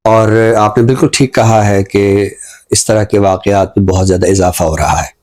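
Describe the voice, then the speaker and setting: A middle-aged man with a Pakistani accent gives reflective social commentary, explaining deliberately and pausing mid-sentence. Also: lowish voice, fairly even intonation, darkish tone. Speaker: literary talk show commentator.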